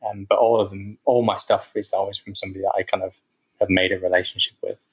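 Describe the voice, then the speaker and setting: A low-voiced man chatting easily as a podcast guest. He rambles in a relaxed way, with frequent fillers and restarts. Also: fast talker, a little hiss or hum, poor audio. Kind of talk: casual interview chat.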